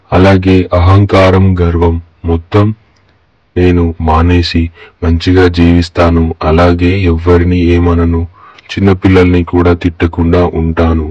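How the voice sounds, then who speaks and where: A man's voice: low-pitched, darkish tone, no echo, lo-fi sound. A man playing a proud, blunt village farmer in a cartoon moral story. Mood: repentant. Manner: boastful, blunt, colloquial. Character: arrogant, scolding village farmer who later repents.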